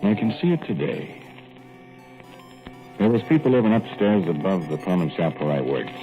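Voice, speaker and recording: Casting: deep, lively-voiced folksy old plumber telling a yarn; tinny vintage radio broadcast. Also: male voice, moderate pace, over music. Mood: reminiscing. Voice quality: folksy, rambling, colloquial. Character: folksy older ex-plumber reminiscing, talkative man. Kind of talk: 1940s radio drama acting.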